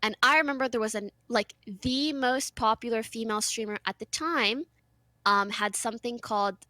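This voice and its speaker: A bright, fairly high-voiced young woman whose first language is French chats casually on a stream. She is animated and giggly and often trails off mid-sentence. Her voice sits dry and close to the mic. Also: normal speed, no echo. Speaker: streamer guest. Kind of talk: casual chat.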